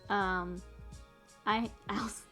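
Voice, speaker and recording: A woman's voice: medium pitch, steady tone, slow, bright, forceful tone, faint music behind. Chatty woman streaming while she paints. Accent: mixed northern US and Texas. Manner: chatty, reads chat aloud, laughs often. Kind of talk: casual livestream chat while painting.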